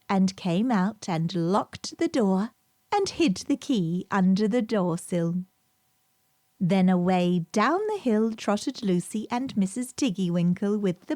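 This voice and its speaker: A woman reads a children's story at a leisurely pace. Her narration is expressive, with lively rises and falls and dramatic emphasis. The voice is recorded dry, with gentle music behind it. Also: mid-range voice, takes it slowly, no room sound. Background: gentle background music.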